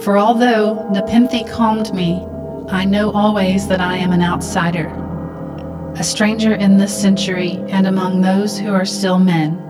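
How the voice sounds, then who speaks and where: Actress as brooding female outsider, radio drama monologue, flat intonation, measured and solemn, music behind. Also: medium pitch, close to an everyday voice. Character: brooding, detached outsider sending logged transmissions, female.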